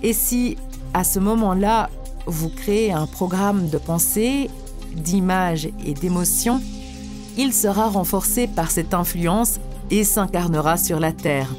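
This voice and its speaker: An actress plays a wise woman teacher in an animated spiritual tale. Her voice sits a little low and she explains things in a measured, earnest way. Quiet music plays behind her. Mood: solemn, instructive. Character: wise female spiritual teacher, serene and earnest, instructing disciples. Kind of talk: scripted didactic cartoon dialogue.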